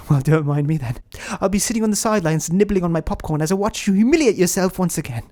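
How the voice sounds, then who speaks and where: A man's voice: sits high, expressive, fast, warm tone. A man voicing a haughty, sceptical inner critic in a scripted skit, with a put-on British accent and a scornful, theatrical manner. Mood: mocking.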